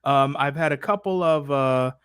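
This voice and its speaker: A man hosts a casual live stream in a slightly high voice. He talks informally with plenty of slang, addressing his chat. The recording is dry, with very little room sound. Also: moderate pace, a bit bright, smooth, no room sound.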